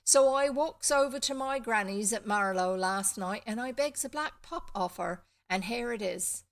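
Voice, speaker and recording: Storybook farm lad, played by a woman: rustic West Country accent, eager, a touch self-important, gravelly edge. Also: a little high, normal speed, natural voice. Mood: proudly important. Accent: English West Country. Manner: rustic dialect grammar, self-important delivery.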